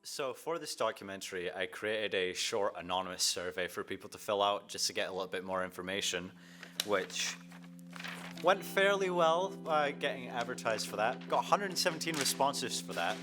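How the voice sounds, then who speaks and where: A man's voice: fairly low pitch, lively intonation, moderate pace, bright, forceful tone. A young man, earnestly narrating his own student documentary and asking interview questions. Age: young adult. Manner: earnest, reflective. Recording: voice-over with guitar music behind.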